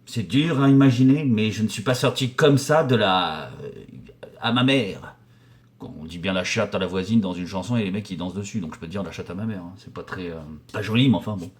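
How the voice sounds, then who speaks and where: A man's voice: on the low side, a little fast. A man in his forties from the Paris region, a painter and decorator answering viewer questions in a casual, joking, crude and rambling way, with many fillers and restarts. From Paris region, France. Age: 40s. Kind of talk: casual vulgar chat.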